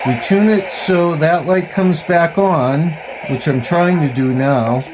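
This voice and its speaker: Slow, halting man reviewing gear. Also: a little high, background noise. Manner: halting, pausing mid-phrase. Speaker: radio hobbyist reviewer. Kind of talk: casual hands-on gadget demonstration.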